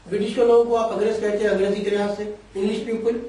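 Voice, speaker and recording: A man's voice: high voice, level tone, very fast, dark tone. A man giving career guidance in an interview, explaining in long, winding sentences that mix Urdu-flavoured Hindi with English terms. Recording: echoey room, voice from a distance.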